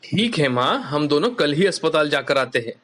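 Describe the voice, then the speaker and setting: An actor voicing a caring, agreeable young husband in an animated moral story, polite and expressive. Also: medium pitch, normal speed. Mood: earnest.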